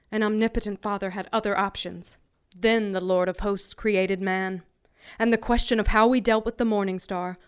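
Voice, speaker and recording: A woman playing an ancient, proud warrior archangel in an audio drama, speaking in a grave, formal, measured way. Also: medium pitch, a bit bright, clear, resonant tone, lightly acted. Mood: brooding, resolute.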